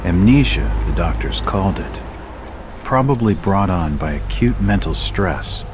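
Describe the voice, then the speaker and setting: A man playing a brooding, world-weary private detective in a video game, with a measured, grave, terse delivery. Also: low-pitched, expressive. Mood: grave, haunted. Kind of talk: noir video game narration. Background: music.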